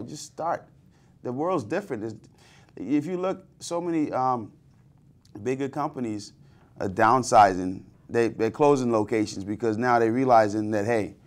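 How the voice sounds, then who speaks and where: A man in his thirties from the Bahamas, co-hosting a casual business panel chat in a TV studio, often restarting his sentences. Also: fairly low pitch. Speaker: co-host. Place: TV studio, seated at desk.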